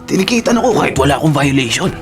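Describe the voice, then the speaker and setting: A man's voice: fairly high pitch, big pitch swings, rapid-fire. A young man acting a jumpy, evasive petty crook in a radio drama, hesitant and defensive. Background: music.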